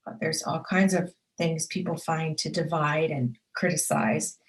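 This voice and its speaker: A woman's voice: deep voice, fairly even intonation, a little slow. A woman hosting her own show and giving a motivational talk. She speaks earnestly and emphatically, stacking short repeated affirmations and addressing the listener directly.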